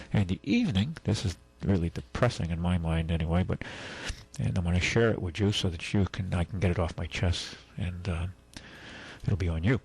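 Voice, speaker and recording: A man with a low, husky voice hosts a radio show from a studio mic. He talks in a loose, rambling way, full of asides and restarts. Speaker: radio host. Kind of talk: casual talk radio monologue.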